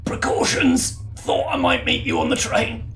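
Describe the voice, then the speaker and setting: A man's voice: low voice, wildly swooping pitch, a little slow, fairly bright tone, pitched below the performer's own voice, full character voice. A man acts out a burly agent in his fifties or sixties for a tabletop game. He sounds guarded and placating, a careful negotiator. There is light noise behind the voice. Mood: guarded warning. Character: thick-set barrel-chested middle-aged male government agent, wary, placating.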